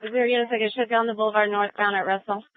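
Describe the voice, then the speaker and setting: A woman's voice: mid-range voice, steady tone, very fast, no echo. A woman working as a police dispatcher, relaying calls over a police radio. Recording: tinny dry police radio channel.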